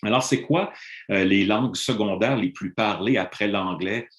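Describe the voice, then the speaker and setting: A middle-aged man gives a measured panel talk as a university lecturer over a video call, pausing often on "euh". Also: fairly low pitch, a bit bright. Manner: measured, explanatory, frequent "euh" hesitations. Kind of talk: online panel presentation. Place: room in Montreal, remote presentation. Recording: roomy video call audio.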